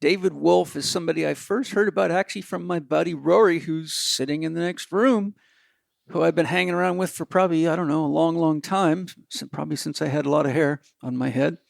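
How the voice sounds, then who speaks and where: A man's voice: on the high side, fairly even intonation, fairly quick, gravelly, dry recording. A middle-aged man hosting a podcast from a house, enthusiastic and chatty, with lots of fillers. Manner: enthusiastic, uses many fillers. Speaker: podcast host. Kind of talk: conversational podcast interview.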